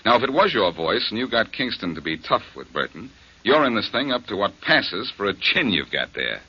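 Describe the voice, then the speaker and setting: Un détective dur et narquois, voix rauque. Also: male voice, on the low side, very animated, fairly quick, pushed and bright, slightly rough, full character voice. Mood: menacing. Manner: menacing, sardonic. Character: tough, wisecracking male detective. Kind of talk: 1940s radio acting. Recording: dull old radio broadcast.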